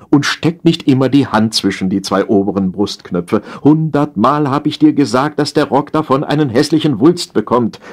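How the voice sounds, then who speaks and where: A bossy, exasperated housewife empress acted out by a man in a comic audio story. The raised voice is husky and fussy, always scolding and complaining. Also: on the high side, a little mellow, voice pushed up.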